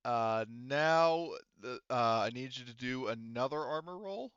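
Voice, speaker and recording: A man's voice: medium pitch, expressive, unhurried, fairly bright tone, clear, resonant tone, dry recording, dull audio. A man talking casually and with some swearing as game master, explaining rules to players at a tabletop game. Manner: casual, profane.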